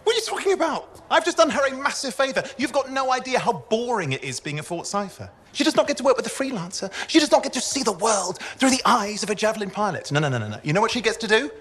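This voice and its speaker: An actor playing a restless, eager young sidekick in a sci-fi video game, chatty and fussy. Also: male voice, very high-pitched, very animated, quick pace, hardly any pauses, fairly bright tone, slightly rough, heavily acted. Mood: exasperated. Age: young adult. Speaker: cipher who assists a pilot.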